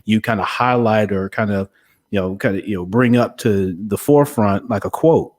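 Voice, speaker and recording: Low-pitched man hosting a podcast. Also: normal speed. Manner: hesitant, wordy, circling back to rephrase. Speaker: podcast host and interviewer. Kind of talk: conversational podcast interview.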